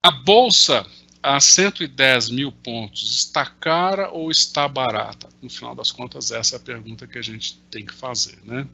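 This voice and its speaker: A man's voice: mid-range voice, normal speed, vocal fry, sharp tone, breathy edge. A man in his sixties with a Brazilian Portuguese accent, explaining things in an online Q&A with worked examples, full of hesitant fillers. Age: 60s. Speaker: invited market analyst answering questions. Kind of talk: explanatory talk in a virtual Q&A. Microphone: video call.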